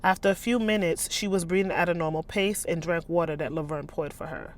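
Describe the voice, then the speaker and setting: A woman's voice: on the low side, fairly quick, slight background noise. A woman author reading her own novel aloud, with sassy, incredulous, slangy commentary in a casual style.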